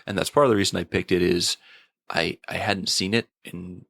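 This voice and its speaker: A man giving a casual episode review in a thoughtful, slightly halting manner, often repeating a word as he goes. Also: sits low, steady tone, creaky, a bit bright, no room sound, studio quality.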